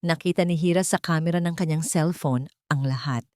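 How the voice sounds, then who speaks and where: A woman with a slightly low voice reads dramatic scripted narration. The sound is dry and close, with no room to it. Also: fairly quick, a little mellow, dry recording.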